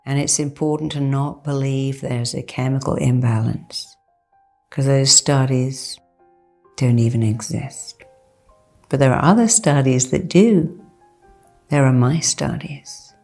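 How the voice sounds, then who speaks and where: A woman with a low, gravelly voice leads a guided meditation. She takes her time, repeating key phrases with deliberate, reassuring emphasis, like a therapist instructing a client. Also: a little mellow. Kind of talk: guided meditation, soothing hypnotherapy-style instruction.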